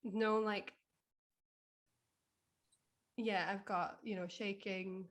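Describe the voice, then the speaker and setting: A woman's voice: medium pitch, fairly even intonation, very slow. A woman in a casual chat as a guest author, thinking aloud and tentatively searching for words. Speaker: guest author being coached.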